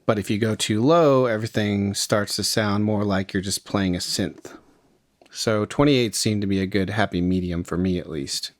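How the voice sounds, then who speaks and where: A man narrating a casual, step-by-step music software tutorial in an informal, explanatory way. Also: lowish voice, moderate pace, no room sound, studio quality. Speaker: music production tutorial host.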